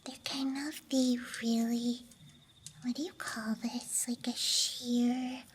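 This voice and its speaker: A woman speaking in a breathy, mostly unvoiced ASMR whisper, rambling gently and softly close to the microphone. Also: fairly high pitch, flat delivery, slow pace, a little hiss or hum. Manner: soft, hushed, gentle rambling. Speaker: ASMR streamer. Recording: night nature ambience, clicking and rattling sounds. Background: night campground ambience, dice and case clicks.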